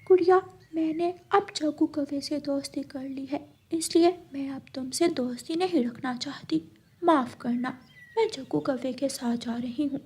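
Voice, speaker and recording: A woman's voice: high voice, moderate pace, darkish tone. A woman voicing a naive, earnest young female goat in a cartoon story. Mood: cold.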